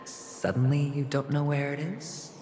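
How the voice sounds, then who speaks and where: A man's voice: on the high side, level tone, unhurried, background noise, natural voice. A man playing a weary, sly young gambler in a video game, speaking in a wry, guarded way. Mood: cool, defiant. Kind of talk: video game drama dialogue.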